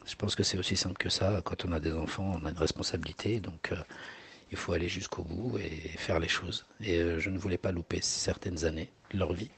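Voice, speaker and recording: Husky singer guy, arabic first language, lots of euh and restarts, lowish voice. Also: fairly even intonation, a little fast, a bit bright. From Morocco. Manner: hesitant, many fillers and repeated words. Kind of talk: interview answers.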